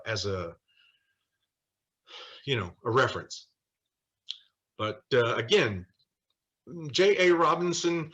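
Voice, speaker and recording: Male Bible study leader, American, very bright forceful voice, conversational and halting delivery with emphatic asides. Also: medium pitch. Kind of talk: informal teaching with quoted scripture and scholars.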